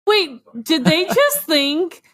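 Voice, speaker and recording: A woman co-hosting a podcast with casual comic banter, acting out imagined dialogue in an animated way. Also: very high voice, slow pace. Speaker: podcast co-host.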